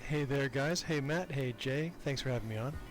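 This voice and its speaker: A man's voice: mid-range voice, moderate pace, soft background music. An older man chatting casually as a podcast guest, talkative and self-deprecating. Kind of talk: casual interview chat.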